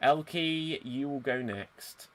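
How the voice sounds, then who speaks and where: Man, improv host, teasing, laughs often, bright voice, unhurried, video call. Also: mid-range voice, a little hiss or hum. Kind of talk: hosting a live-streamed improv game.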